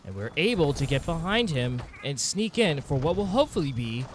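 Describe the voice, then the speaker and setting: A man narrating video game footage in a dramatic, documentary-like storytelling style with formal, measured wording. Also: on the high side, big pitch swings, a little hiss or hum. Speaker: game streamer narrating own hunt. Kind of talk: scripted-sounding nature documentary style game commentary. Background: game music under the voice.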